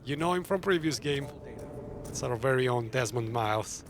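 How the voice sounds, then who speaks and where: A man's voice: medium pitch, animated, moderate pace. A man narrating a game story recap, explaining things directly to the viewer. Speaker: gaming channel narrator. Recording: clear background noise.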